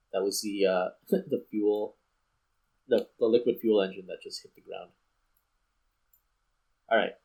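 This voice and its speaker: A man with a fairly low, husky voice hosts a gaming video. He talks casually over his own play, rambling and thinking aloud as he works through each choice. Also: a little mellow, low-quality recording. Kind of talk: casual let's play commentary.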